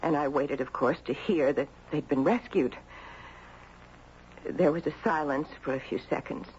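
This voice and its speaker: An actress playing a guilt-ridden, grieving middle-aged mother in halting, confessional 1970s radio drama style. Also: low voice, a little mellow, close to an everyday voice. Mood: sorrowful. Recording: muffled, noisy 1970s radio recording.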